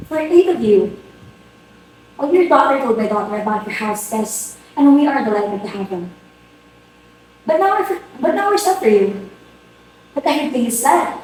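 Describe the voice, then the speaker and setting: Woman acting a high-voiced, flustered society hostess, very echoey. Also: a little mellow. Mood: polite pleading. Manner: theatrical, exclamatory, indignant. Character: flustered, imperious society hostess and mother, melodramatically exasperated. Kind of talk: amateur dramatic reading of a comic stage play. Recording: very echoey room, distant mic, faint noise.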